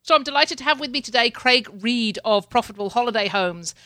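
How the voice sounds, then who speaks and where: A woman's voice: fairly high pitch, expressive, a little fast, sharp tone. A woman hosting a casual interview, talking in a chatty way with frequent repeated words and light laughs. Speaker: podcast host. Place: home in Canada.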